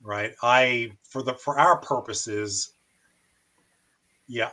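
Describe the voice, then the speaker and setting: Bright-voiced American man, radio hobbyist. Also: mid-range voice, very slow. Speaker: amateur radio operator and show host. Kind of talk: casual demonstration and radio chat.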